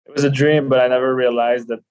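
A man's voice: medium pitch, level tone, fast, a little mellow, smooth. A man in his twenties from Quebec with a French Canadian accent, a native French speaker, chatting casually as an interview guest. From Quebec City, Canada. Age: 20s. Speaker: podcast guest, professional hockey player.

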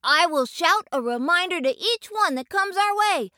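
Bright, bouncy little boy tree for a storybook, played by a woman, slow and sing-song. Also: very high-pitched, animated, no room sound, clean studio sound, pitched above the performer's own voice, full character voice. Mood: earnest. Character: eager young boy tree, earnest schoolkid. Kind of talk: storybook character voice.

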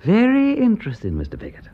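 Posh english gentleman sleuth, urbane and keen, voice swoops all over, dull old radio drama sound. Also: high-pitched, huge pitch swings, a little fast, husky, heavily acted. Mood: pleased, courteous. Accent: upper-class English. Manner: urbane, emphatic, drawling question tags. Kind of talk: British radio drama acting. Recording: dull band-limited radio recording.

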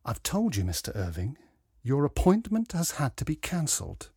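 A man's voice: fairly high pitch, wildly swooping pitch, normal speed, dark tone, slightly rough, dry recording, clean studio sound, heavily acted. Man voicing a politely firm female receptionist. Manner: polite, firm, restrained irritation. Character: patient but irritated female hospital receptionist.

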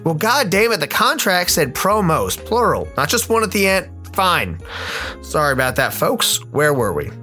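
Guy narrating a silly radio play, really lively pitch, dramatic n wry, soft music behind. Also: moderate pace. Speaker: host and narrator. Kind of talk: scripted storytelling for a comic radio play.

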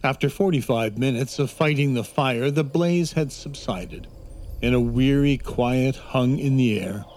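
A man narrating a scripted children's adventure story in a measured, dramatic storytelling manner. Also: medium pitch, darkish tone. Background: instrumental music.

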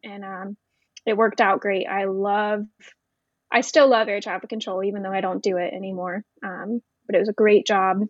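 A woman with a mid-pitched voice talks informally as a guest in a conversation, explaining as she goes. The recording is dry and close, with a slightly dull, lo-fi tone. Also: flat delivery, moderate pace, dry recording. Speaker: podcast guest, Navy veteran. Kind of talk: casual interview chat.

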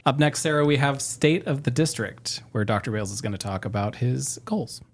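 A man's voice: mid-range voice, lively intonation, a little fast, over music. An American man hosting a podcast, asking prepared interview questions and giving information to listeners. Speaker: podcast host.

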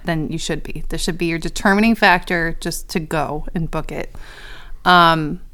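A woman's voice: fairly low pitch, fairly bright tone, smooth. A young woman co-hosting a faith podcast, talking in an earnest, encouraging way. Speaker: podcast co-host. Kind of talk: casual chat.

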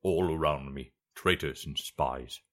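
Audiobook role: grumbling, paranoid ruler, male reader performing, low register, self-important and irritable. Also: big pitch swings, normal speed, pushed and bright, heavily acted. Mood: suspicious, irritated. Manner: pompous, aggrieved, muttering. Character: grumbling, paranoid, pompous emperor.

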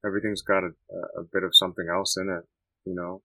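A Canadian man answering interview questions in a thoughtful, halting way with many restarts. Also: deep voice, flat delivery, gravelly, pushed and bright, no room sound, rough recording. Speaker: musician guest.

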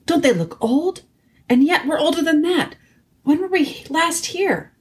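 A woman's voice: high voice, animated, a little slow, voice pushed up. A woman voicing a thoughtful, wondering schoolboy in a storybook reading. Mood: awed.

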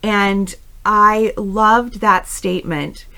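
A woman's voice: mid-range voice, steady tone, very slow, bright, forceful tone. A woman hosting a conversational interview in an informal, encouraging manner. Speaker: interview host.

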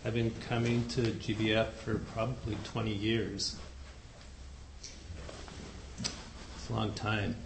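A quiet, soft-spoken man teaching a meditation group, his voice a little gravelly and hesitant, full of small pauses. He is recorded in an echoey room with a faint hum of ambience behind him. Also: on the low side, little pitch movement. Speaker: dharma teacher. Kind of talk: dharma talk to a group.

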